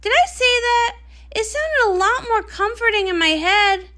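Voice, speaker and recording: A woman's voice: very high-pitched, animated, unhurried, sharp tone, voice pushed up, full character voice. A woman voicing a perky, vain young pony princess who sings pop, with a gushing, theatrical manner in a storybook read-along. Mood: anxious.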